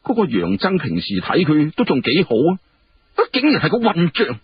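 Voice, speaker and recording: A man voicing an imperious, sharp-tongued emperor with an emphatic, questioning delivery in historical storytelling. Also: fairly high pitch, huge pitch swings, moderate pace, pitched above the performer's own voice. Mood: angry. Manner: indignant rhetorical questioning, emphatic. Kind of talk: Cantonese historical storytelling dialogue. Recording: muffled, clearly noisy radio-like recording.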